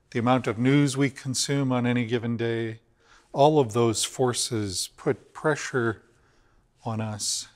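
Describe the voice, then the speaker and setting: An older priest man speaks slowly and earnestly, easing off the pace to stress key words. His middle-pitched voice carries a long church reverb from the big empty nave around him. The camera picks him up from mid distance. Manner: measured, earnest, slows for emphasis. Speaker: cathedral rector. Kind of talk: weekly video reflection on scripture. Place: inside a large empty church.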